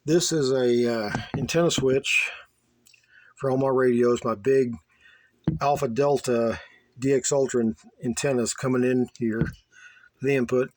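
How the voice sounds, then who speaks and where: A man giving a casual, unscripted tour of his hobby setup, plainspoken and halting, often repeating himself. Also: mid-range voice, fairly even intonation, a little mellow, no echo. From United States. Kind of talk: casual unscripted show-and-tell. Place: small home radio room.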